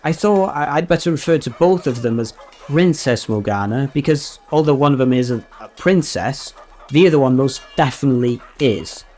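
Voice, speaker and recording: A man's voice: mid-range voice, lively intonation, moderate pace, a little mellow, lightly acted. A man playing an earnest, muddled underling to a knight in a comic radio play, hesitant, literal and rambling. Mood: earnest, confused.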